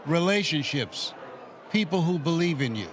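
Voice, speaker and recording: A man's voice: on the high side, animated, normal speed. A man of eighty giving motivational encouragement in conversation as a guest, in a preacherly way full of maxims. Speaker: motivational speaker, interview guest.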